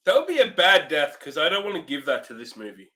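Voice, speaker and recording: A man's voice: fairly high pitch, big pitch swings, fast talker, bright, forceful tone. A man co-hosting a podcast in casual, joking banter. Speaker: podcast co-host.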